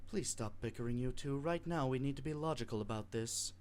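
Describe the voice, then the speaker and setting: A man voicing a calm, pedantic young fighter with measured, deadpan logic in an anime parody dub. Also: mid-range voice, soft background music, lightly acted. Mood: calmly analytical.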